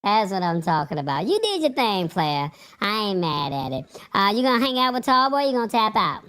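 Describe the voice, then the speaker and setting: A woman with a very animated, mid-pitched voice chats casually. Her manner is informal and folksy, as if addressing people she knows. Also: a little fast. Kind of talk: casual chat, signing off to viewers.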